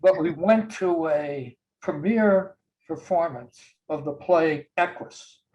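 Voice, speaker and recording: An older man on a video call gently reminiscing about his daughter, speaking slowly with soft chuckles. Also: a little high, low-quality recording. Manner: slow, gentle, warm storytelling with soft chuckles. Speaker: guest sharing memories. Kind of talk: casual reminiscing.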